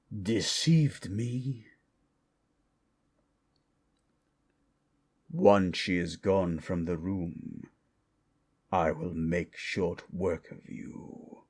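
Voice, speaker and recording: Give this fantasy roleplay a proud, protective red dragon. The voice is very low and thick with gravelly creak, breathy at the edges. Every phrase comes extremely slowly, formal and grandiose, menacing yet capable of tenderness. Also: male voice, full character voice. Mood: stern, threatening. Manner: slow, grandiose, formal dramatic delivery. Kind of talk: improvised fantasy ASMR roleplay.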